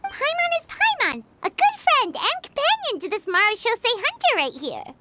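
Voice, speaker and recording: A woman voicing a tiny, childlike fairy companion in an anime game, squeaky, cutesy and excitable. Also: moderate pace, very noisy, full character voice. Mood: cheerful, proud. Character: tiny chirpy childlike fairy companion, speaks in third person. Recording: music behind the voice. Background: game music.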